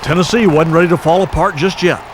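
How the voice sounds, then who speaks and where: Guy narrating a sports doc, calm scripted read, kinda higher voice, some noise behind. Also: fast talker. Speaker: documentary narrator.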